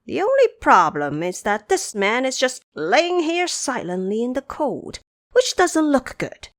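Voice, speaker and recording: A woman's voice: high-pitched, measured pace, dry recording, studio quality, voice pushed up. A woman doing a cocky, teasing monkey king. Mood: lively boastful. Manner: teasing, lively, bossy. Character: mischievous boastful male monkey king.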